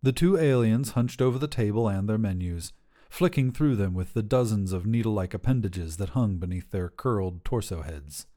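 Low, mellow male story narrator. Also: animated, normal speed. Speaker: fiction podcast narrator. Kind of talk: scripted short story narration.

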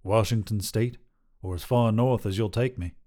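A man's voice: fairly low pitch, moderate pace, warm tone, close to an everyday voice. A man voicing a young drifter, dazed and haunted, who speaks in a hesitant, stammering way.

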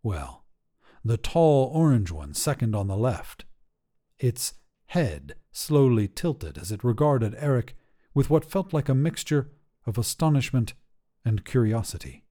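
A male podcast host reads a short story in an expressive way. His voice is mid-pitched and mellow, with very animated intonation that rises and falls through each sentence. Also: a little slow. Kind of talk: scripted short story narration.

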